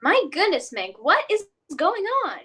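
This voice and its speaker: A woman's voice: extremely high pitch, very animated, a little slow, heavy background noise, exaggerated voice. A woman playing an amused, easygoing housewife mother in a radio play. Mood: amused surprise.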